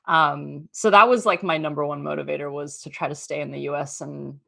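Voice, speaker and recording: A woman's voice: sits low, fairly even intonation, fairly quick, gravelly, pushed and bright. A woman in her thirties from Australia, a guest chatting casually in an interview, full of "um" and "like". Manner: chatty, lots of fillers and "like". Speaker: podcast guest.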